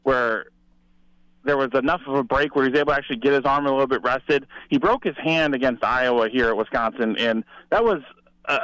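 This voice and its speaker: A man's voice: mid-range voice, a bit bright, no room sound, highs cut off. A man giving conversational football analysis as a guest sports reporter.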